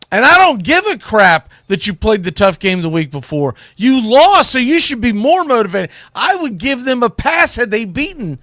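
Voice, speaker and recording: Bright, punchy male sports talk host. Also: sits high, gravelly, no echo, studio quality. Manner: blunt, emphatic, colloquial. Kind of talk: opinionated college football commentary.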